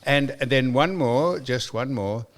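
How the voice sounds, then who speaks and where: An older Australian man hosting a podcast, chatting in a rambling, storytelling way and giving listeners shout-outs. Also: mid-range voice, slow. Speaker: podcast host. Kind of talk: casual chat.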